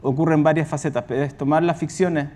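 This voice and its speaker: A man with a fairly high yet mellow voice answers on a panel. He explains things at length, circling back and adding asides. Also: fairly even intonation, quick pace. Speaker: audio company representative on a panel.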